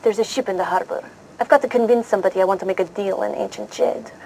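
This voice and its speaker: A woman's voice: mid-range voice, quick pace, natural voice. Woman playing a haughty female spy, noisy recording. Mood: irritated. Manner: deadpan, imperious, easily offended. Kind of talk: scripted mock interview in pulp-fiction style. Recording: clear background noise.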